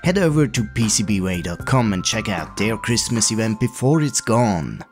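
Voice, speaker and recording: Calm, low-voiced man explaining tech. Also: normal speed, faint music behind. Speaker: retro computing video host. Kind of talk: scripted tutorial voice-over.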